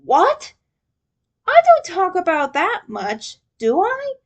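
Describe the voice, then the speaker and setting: A woman's voice: very high-pitched, huge pitch swings, takes it slowly, pitched above the performer's own voice, full character voice. A woman reading the part of an earnest, sheepish teenage male turtle leader in a fan story. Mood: confused, defensive.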